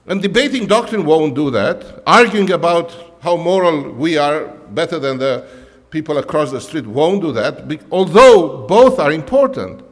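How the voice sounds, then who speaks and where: A man's voice: fairly high pitch, in a large hall. A man whose first language is Romanian, a pastor preaching a conversational sermon in English, full of "you know" and restarts.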